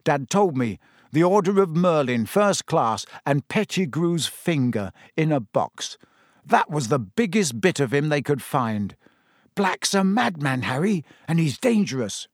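Guy doing a blunt excitable teen boy for an audiobook, emphatic, pitched up. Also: unhurried, dry recording, clean studio sound, full character voice. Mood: urgent. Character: blunt, excitable teenage schoolboy, loyal friend. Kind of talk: audiobook character voice.

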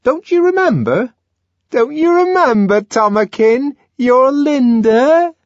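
A shrill, trembling middle-aged woman begging to be remembered, as a male reader puts her on. Her voice rises high and wavers in despair. The sound is dry and a little dull. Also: sing-song, slow, no room sound, exaggerated voice. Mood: pleading. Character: distraught faded middle-aged woman, pleading.

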